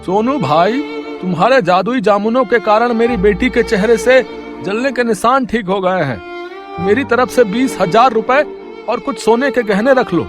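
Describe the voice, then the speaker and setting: A man's voice: sits high, measured pace. A man playing a wealthy village father in a storybook tale, blunt and folksy in manner. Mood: grateful. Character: sceptical, boastful, grateful village father, wealthy customer. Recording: music under the voice.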